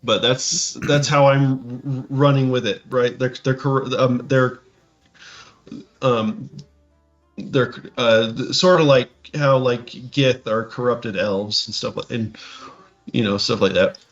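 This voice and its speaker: A man talks casually and haltingly, often stumbling as he restarts his thoughts. His pitch is mid-range, the sound is dull and music plays behind him. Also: steady tone. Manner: halting, stammering, rambling. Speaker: podcast co-host. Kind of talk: casual reaction chat about tabletop games.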